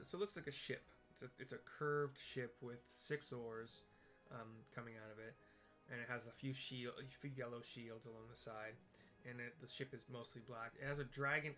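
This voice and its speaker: Halting man narrating a game over music. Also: mid-range voice, a bit bright. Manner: halting, thinking aloud. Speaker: gamer narrating a playthrough with visual descriptions. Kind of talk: casual improvised game commentary with audio description. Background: video game music.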